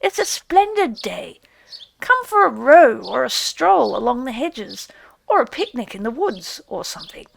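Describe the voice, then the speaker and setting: Woman playing a creaky, grumpy water rat. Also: a little high, big pitch swings, a little slow, exaggerated voice. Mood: coaxing, impatient. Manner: severe, grumbling. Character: grumpy, stay-at-home water rat, severe and contemptuous. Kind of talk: audiobook character voice.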